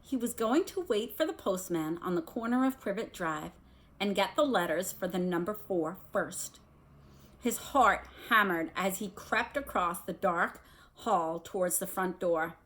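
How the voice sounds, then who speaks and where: A woman reads a storybook aloud with a very animated pitch. She sounds like a teacher, slipping from expressive narration into casual explanations.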